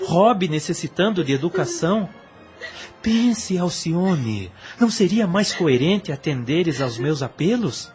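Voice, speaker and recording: A man's voice: a little high, lively intonation, measured pace, darkish tone, faint music behind. A Brazilian man playing a lovesick former priest in an audio drama, pleading insistently in formal, impassioned speech.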